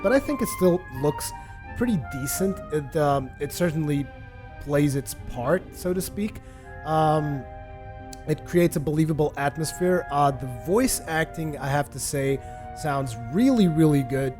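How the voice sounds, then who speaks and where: A man gives casual commentary on a video game, talking in a loose, rambling way with frequent fillers. His voice sits a little high for a man, and soft music plays faintly behind him. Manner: relaxed and rambling, with many fillers and restarts. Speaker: gaming video host.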